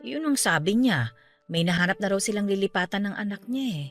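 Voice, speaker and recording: A woman's voice: medium pitch, lively intonation, fairly quick. A woman acting a caring, grieving middle-aged aunt in a Tagalog radio drama, in natural conversational dialogue. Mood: resigned, practical. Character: grieving aunt who just lost her parents, caring, steadfast. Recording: music bed under the voice. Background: background music.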